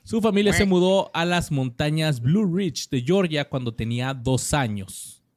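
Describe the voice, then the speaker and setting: A man's voice: a little high, animated, measured pace, a little mellow, dry recording, studio quality. A man co-hosting a podcast, reading out actor bios with lots of slang and jokes. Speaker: podcast co-host. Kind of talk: casual podcast chat.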